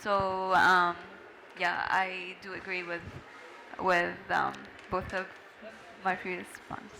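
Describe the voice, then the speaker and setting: A young adult woman from Lebanon, answering on a panel in a measured way. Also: fairly low pitch, monotone, gravelly, bright, forceful tone, a little hiss or hum, poor audio.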